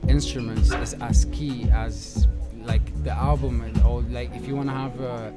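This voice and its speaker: A man's voice: medium pitch, steady tone, moderate pace. Hesitant young male producer over music. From born in London, grew up in Syria. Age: 20s. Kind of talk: casual radio interview. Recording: music playing under the talk.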